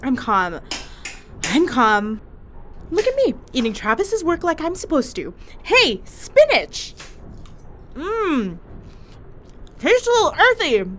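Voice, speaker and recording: A brash and foul-mouthed medic speaks in a high, lively voice, blunt and quick to get sarcastic or defensive. It is audio drama acting over faint ambient noise. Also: female voice, heavily acted.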